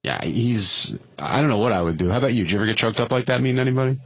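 A man's voice: medium pitch, very fast, no echo. A man hosting a radio call-in show, talking casually and putting questions to others. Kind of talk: casual studio talk, asking questions.

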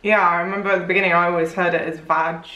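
Bright-voiced young Englishwoman. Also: fairly low pitch, flat delivery, racing, slight background noise. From England. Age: young adult. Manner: chatty, curious, playful. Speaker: vlog co-host. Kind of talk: casual reaction chat. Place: small room indoors. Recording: echoey room.

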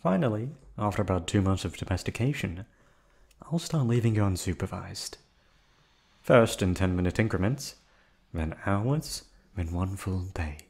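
A man playing a soft-spoken yandere kidnapper. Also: deep voice, expressive, normal speed, creaky, slightly rough, heavily acted. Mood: menacing. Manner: soft, coolly reasoning, menacing. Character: calm, unhinged male yandere hotel receptionist kidnapper. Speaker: voice actor. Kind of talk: ASMR roleplay audio drama.

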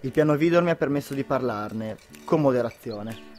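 A man's voice: mid-range voice, animated, normal speed, darkish tone, natural voice. Young guy doing hot-headed lovestruck husband, boastful storyteller, radio play, bit of music behind. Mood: indignant, bitter. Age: young adult. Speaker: student actor. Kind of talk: amateur radio drama acting.

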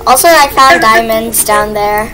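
Young female gamer, high-pitched, unhurried, teasing role-play delivery, noisy home capture. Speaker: gamer playing a role-play game with a friend. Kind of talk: casual role-play chat during gameplay.

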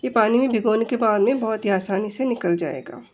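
A woman's voice: a little high, fairly quick, darkish tone, lo-fi sound. A woman with an Indian accent gives step-by-step instructions as a home cook in a recipe voice-over. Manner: explanatory, step-by-step.

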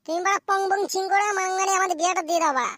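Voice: extremely high pitch, fairly even intonation, rapid-fire, pushed and bright, no echo, studio quality. A performer voicing a cheeky, whiny, foul-mouthed young bridegroom in a slapstick comedy cartoon. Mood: defiant.

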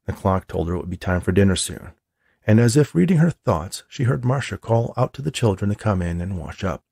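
A man's voice: fairly low pitch, lively intonation, a little fast, vocal fry, darkish tone, breathy edge, dry recording, studio quality. A man reading a story aloud as a scripted audiobook narration. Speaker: author reading own novel.

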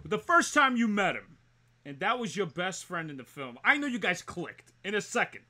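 A man's voice: sits high, very animated, normal speed, sharp tone. A man hosting a casual interview over a video call from a dim room at home, asking questions in a chummy, easygoing way. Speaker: talk show host. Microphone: laptop webcam on a video call.

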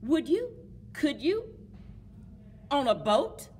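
A woman's voice: sits high, sing-song, slow pace, darkish tone, a little hiss or hum, pitched above the performer's own voice, exaggerated voice. A woman voicing a pushy, eager storybook creature who coaxes with insistent, rhyming questions. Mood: persistent coaxing. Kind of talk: rhyming picture-book read-aloud. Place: public library children's book corner.

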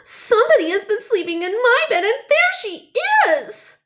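A woman's voice: extremely high pitch, big pitch swings, moderate pace, fairly bright tone, voice pushed up, heavily acted. A woman voicing a little baby bear in a children's storybook, crying out in whiny, squealing distress. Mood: tearful indignation.

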